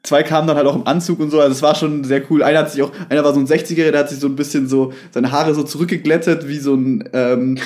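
A young man in casual chat as a podcast co-host, a relaxed, chatty storyteller. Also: a little high, rapid-fire, few pauses.

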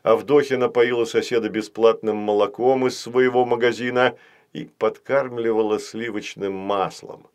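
A man narrates a children's tale from a script. His voice sits at a middle pitch, and he reads in the manner of a storybook audiobook. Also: steady tone, moderate pace, darkish tone, clear, resonant tone.

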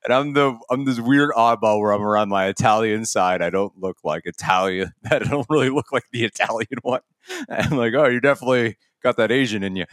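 A man's voice: on the low side, a little fast, a bit bright, dry recording, studio quality. A man co-hosting a podcast, talking in a loose, rambling way with frequent laughs and restarts. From United States. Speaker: podcast co-host. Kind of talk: casual chat.

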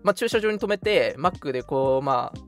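A man hosting a casual radio chat, telling anecdotes in an animated way with vivid sound effects and quoted lines. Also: on the high side, a little fast, soft background music. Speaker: radio show host.

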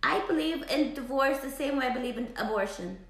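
Opinionated high-voiced woman. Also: lively intonation, fairly quick, fairly bright tone, echoey room. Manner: emphatic, opinionated. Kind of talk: casual group chat.

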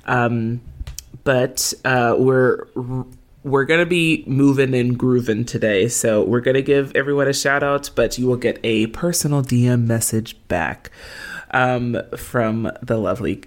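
A woman's voice: extremely low pitch, measured pace. A woman co-hosting a podcast from an empty apartment, chatting casually with frequent giggles and fillers. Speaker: podcast co-host. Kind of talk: casual chat.

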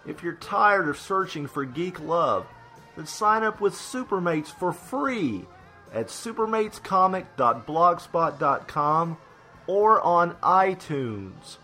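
A man's voice: a little high, lively intonation, takes it slowly, sharp tone. A man reading a scripted podcast advertisement as its announcer. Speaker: promo announcer. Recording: music under the voice. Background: music.

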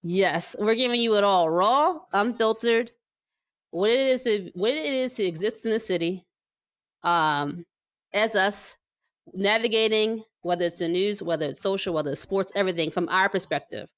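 American woman podcast host, chatty and emphatic, mid pitched voice, pretty dry recording. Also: moderate pace, fairly bright tone, dry recording. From New Jersey, United States. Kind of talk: casual chatty podcast introduction.

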